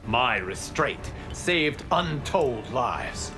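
A man voicing a wise, stern god in a video game, calm, formal and admonishing. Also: medium pitch, extremely slow. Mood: solemn warning. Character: solemn, wise male fire god, sternly sorrowful. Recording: music playing behind.

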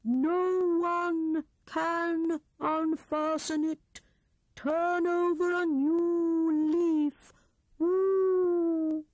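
A woman voicing a stern wise old male owl in a storybook, with drawn-out, hooting, disapproving words. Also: very high-pitched, level tone, a little mellow, no room sound, voice pushed up, full character voice. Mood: stern, reproving.

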